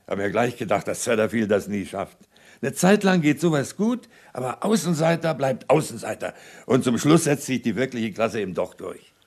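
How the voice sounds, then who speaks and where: An actor playing a gruff, opinionated neighbour and husband in a radio crime drama, talking bluntly and emphatically. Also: male voice, medium pitch, very animated, normal speed. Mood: opinionated, hearty. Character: gruff, hard-of-hearing husband and opinionated football fan.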